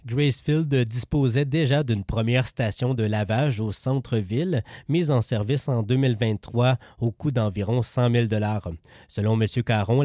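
A man with a Quebec French accent reading local news reports in a steady, even delivery. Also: mid-range voice, no room sound, studio quality. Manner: relaxed chatty in banter, steady when reading. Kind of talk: read news report with casual banter.

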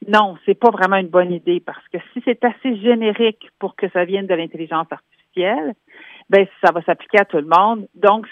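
A Québécois woman talks on a radio segment about work, walking through her points with practical examples. Her voice comes down a tinny phone line with a narrow, dry sound. She sits at a middling pitch. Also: no room sound. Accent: Québécois French. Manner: explanatory, gives concrete examples. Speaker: workplace columnist calling in. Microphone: telephone.

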